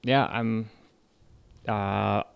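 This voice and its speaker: Young Californian lecturer, low gravelly voice, relaxed and halting, dry close sound. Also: male voice, no echo. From California, United States. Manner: relaxed, hesitant, many fillers and restarts. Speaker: English literature lecturer. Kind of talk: casual radio interview.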